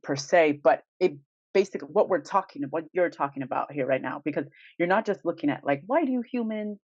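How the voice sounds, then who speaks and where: Mid-pitched podcast host chatting casually, rambles through questions, dry and a bit dull sounding. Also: expressive, a little fast, a little mellow, dry recording. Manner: thinks aloud in rambling questions, chuckling lightly.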